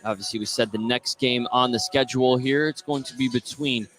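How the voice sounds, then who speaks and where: A man's voice: mid-range voice, steady tone, brisk, fairly bright tone, soft background music. A man doing live basketball play-by-play commentary on the radio. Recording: gym ambience noise behind commentary. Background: sports gym ambience.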